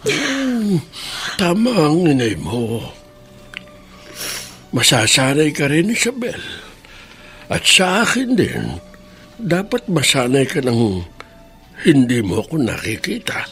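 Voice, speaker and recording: An elderly, tender grandfather in a radio drama. His voice sits fairly high and swings widely, moving slowly and haltingly with resignation. Music plays behind him. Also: wildly swooping pitch, fairly bright tone, full character voice. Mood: wistful. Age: older. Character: gentle, resigned old grandfather. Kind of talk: Filipino radio drama acting.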